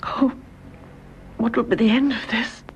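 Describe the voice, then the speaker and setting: An actress playing a frightened, guilt-stricken young woman in a radio drama, breathy and tearful with drawn-out exclamations. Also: fairly high pitch, steady tone, natural voice. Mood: horrified, frightened. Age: young adult. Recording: faint hiss on radio drama recording.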